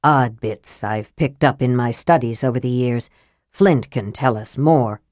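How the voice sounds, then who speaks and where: A frail male mage played by a woman reader, low-pitched, soft and damaged in voice. He is irritable and sardonic, with the condescending bite of a storybook sorcerer, heard in a dry recording. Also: measured pace, dry recording. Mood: soft, knowing. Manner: cutting, condescending. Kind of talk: audiobook character voice.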